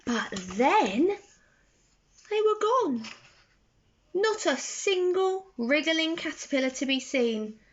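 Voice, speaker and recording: A young woman reading a children's bedtime story aloud in an expressive, deliberate way, recorded on a phone in a bedroom. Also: high-pitched. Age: young adult. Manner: slow, deliberate, animated phrasing for children. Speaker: storybook reader. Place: bedroom, indoors. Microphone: phone at arm's length. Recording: slightly dull phone recording.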